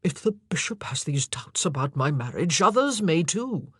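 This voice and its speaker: A narrator voicing an anguished, impassioned and forceful male monarch in an audiobook drama. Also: medium pitch, sing-song, moderate pace, slightly rough, no room sound, studio quality. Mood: tormented.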